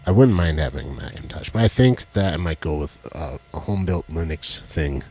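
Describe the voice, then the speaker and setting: A man with a deep voice, co-hosting a casual live stream and joking as he fiddles with his tech. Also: animated, brisk, a little mellow, breathy edge. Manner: rambling, jokey, fiddles with tech while talking. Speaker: co-host of a live stream show. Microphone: headset with headphones.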